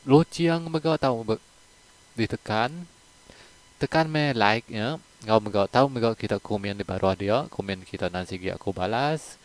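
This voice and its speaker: A man's voice: on the low side, clean tone. A man telling a long folk story in a conversational way as a channel storyteller, then asking listeners to like and subscribe. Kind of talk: told folk story in Iban.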